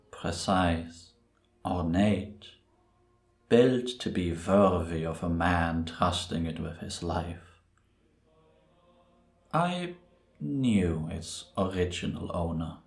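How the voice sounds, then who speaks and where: A man playing Death as a grave, gentle reaper who speaks in a measured, deliberate way in an audio roleplay. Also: sits low, full character voice. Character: solemn, gentle male Death, a reaper who was once human. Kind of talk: cinematic audio roleplay acting. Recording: roomy, reverberant sound.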